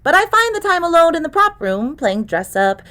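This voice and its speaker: A woman voicing a precocious young girl in an audiobook, calm and clear, with a cynical, worldly edge. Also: very high voice, moderate pace, voice pushed up, exaggerated voice. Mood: coolly sarcastic. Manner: clear, calm, worldly beyond her years. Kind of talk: acted audiobook dialogue.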